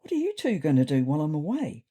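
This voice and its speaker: A woman's voice: low voice, wildly swooping pitch, fast, mellow, breathy edge, heavily acted. A woman voicing a thoughtful, slightly neurotic male ginger cat telling his diary in a dry, fretful way. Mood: anxious, incredulous. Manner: dry, fretful, wordy understatement.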